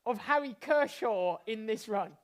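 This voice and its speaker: A British man hosting a live improvised comedy show in an excitable, rambling, effusive way. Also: sits high, measured pace. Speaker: host. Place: indoors, live online show.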